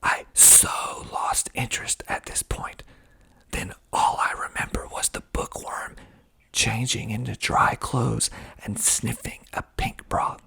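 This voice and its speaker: A man's voice: medium pitch, flat delivery, slow pace, creaky, sharp tone, breathy edge. A man whispering breathily in an ASMR style as he reads aloud, adding wry, understated asides. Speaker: filmmaker and actor reading reviews of own film.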